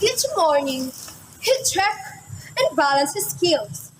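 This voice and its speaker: A woman's voice: high-pitched, sing-song, slow pace. A woman reading scripted narration as part of a readers theater. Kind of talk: scripted narration, read aloud. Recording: echoey room with clear background noise.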